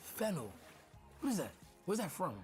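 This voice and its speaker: A young man with a Dominican accent, chatting excitedly and joking as he tastes and plates food in a restaurant kitchen for his stream. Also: high-pitched, normal speed, soft background music. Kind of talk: casual food vlog chat.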